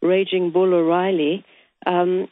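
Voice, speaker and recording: A woman guest columnist speaks on a radio show, hesitant and full of ums, then pressing her points with emphasis. Her voice is fairly low for a woman. The line is dry and narrow, like a telephone. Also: little pitch movement, takes it slowly, no room sound. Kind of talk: radio interview, opinion commentary. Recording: dry phone-line audio.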